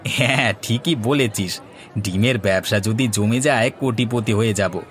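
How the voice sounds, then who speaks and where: A man as an excitable, doubting cartoon villager. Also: fairly low pitch, a little slow, some chatter behind, a little hiss or hum. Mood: hopeful. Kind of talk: comic Bengali cartoon dialogue. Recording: music behind the voice.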